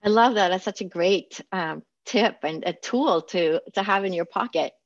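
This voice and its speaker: A woman's voice: mid-range voice, normal speed, no room sound. A woman hosting an interview, affirming her guest and asking questions with frequent ums. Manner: warm affirmations, hesitant with many fillers. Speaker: interview host. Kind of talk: interview conversation. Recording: dry, dull lo-fi audio.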